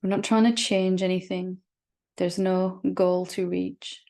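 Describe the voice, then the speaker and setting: Slow, low-voiced woman guiding a meditation. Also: flat delivery. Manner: gentle, reassuring, unhurried with long pauses. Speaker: meditation teacher leading a satsang. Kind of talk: guided meditation.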